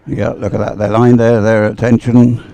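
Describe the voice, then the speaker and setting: A man giving live sports commentary on boat racing, speaking in a hesitant, unhurried, explanatory way. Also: fairly low pitch, level tone, gravelly, dark tone. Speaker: race commentator.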